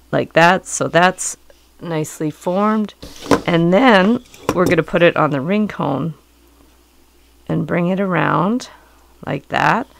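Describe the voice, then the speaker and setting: Middle-aged woman with a cold, low gravelly voice, calmly walking you through a craft, dry close sound. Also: dry recording. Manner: calm, patient, explanatory. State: hoarse from a cold. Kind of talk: step-by-step craft instruction. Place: indoors at a work table.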